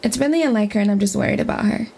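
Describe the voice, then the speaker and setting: An actress playing a caring, easygoing community leader at a riverside camp in an audio drama, talking in a casual, friendly way. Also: female voice, medium pitch, very fast, vocal fry, mellow, a little hiss or hum, natural voice. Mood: concerned.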